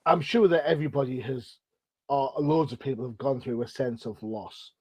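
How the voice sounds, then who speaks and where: A man's voice: medium pitch, fairly even intonation, moderate pace, mellow, breathy edge, dry recording. A middle-aged man from Britain hosting an interview, chatty and self-deprecating, with rambling questions. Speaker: podcast host. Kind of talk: casual interview chat.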